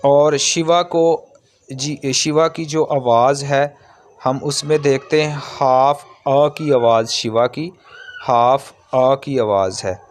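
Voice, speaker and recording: A man giving an informal, step-by-step language lesson in a halting, repetitive way, explaining as a teacher does. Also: medium pitch, steady tone. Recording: clearly noisy background.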